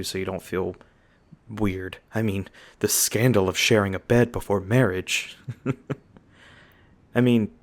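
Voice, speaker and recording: Man voicing a bashful, low-voiced young werewolf jock. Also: close to an everyday voice. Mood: friendly, awkward, then nervous, tender.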